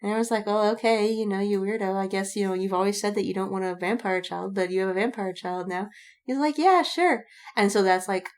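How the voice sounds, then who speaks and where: A woman's voice: mid-range voice, a little fast. A woman podcast co-host chatting casually, telling stories in a rambling way with acted-out quotes and frequent swearing. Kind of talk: casual chat.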